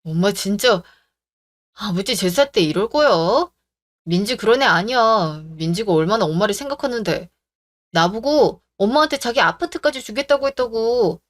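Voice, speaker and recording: A woman's voice: medium pitch, lively intonation, normal speed, close to an everyday voice. A woman voicing a young husband who pleads with his mother and pushes his wife, talking in a defensive way. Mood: placating. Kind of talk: radio drama acting.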